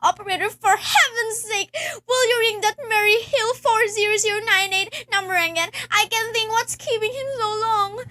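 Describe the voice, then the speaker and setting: A woman's voice: extremely high pitch, normal speed, hardly any pauses, pushed and bright. A woman playing a nervous, demanding invalid wife in an amateur radio play, stammering and insistent. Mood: frantic, exasperated.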